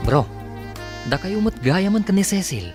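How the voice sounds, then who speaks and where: A man's voice: high-pitched, big pitch swings, a little slow, over music. Frank, teasing male buddy. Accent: Filipino, Ilocano. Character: teasing, practical male best friend. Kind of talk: radio drama acting.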